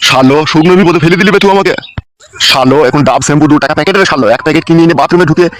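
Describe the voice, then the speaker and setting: A man's voice: a little high, racing, a little hiss or hum, natural voice. An actor playing an exasperated, argumentative father in a cartoon comedy, protesting in a rural West Bengal accent. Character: indignant, exasperated father of a grown son.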